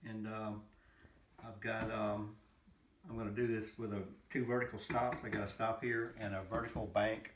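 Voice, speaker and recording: A man's voice: on the low side, little pitch movement, normal speed. A middle-aged man giving casual, unscripted how-to narration in short bursts while he works with his hands. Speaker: hobbyist builder demonstrating his work. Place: indoor room at home, at a worktable. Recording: noisy home recording with clicks.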